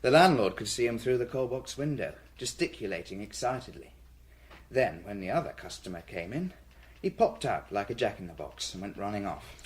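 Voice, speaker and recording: A British actor playing a diligent police detective reporting briskly to his chief in a radio drama. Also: lowish voice, very animated. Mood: descriptive, animated.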